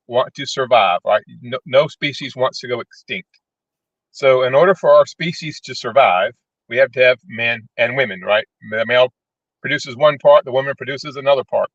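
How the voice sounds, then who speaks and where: A man's voice: medium pitch, no echo. A man, a panel guest, explaining casually and plainly, often checking in with the listener. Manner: plain-spoken, checks in with "right?". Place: parked vehicle in a parking lot. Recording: dry, dull lo-fi call audio.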